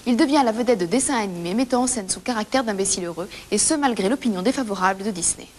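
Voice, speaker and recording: A woman's voice: medium pitch, fast talker, a little mellow. A woman co-hosting a magazine show, delivering scripted lines playfully. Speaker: TV co-host. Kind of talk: scripted light magazine presentation in tandem. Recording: hissy background noise.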